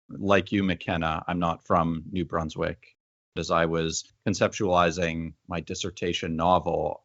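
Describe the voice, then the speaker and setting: A man's voice: sits low, a bit bright, no echo. A man acting as interviewer, speaking in the composed phrasing of prepared questions. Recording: dull, lo-fi audio.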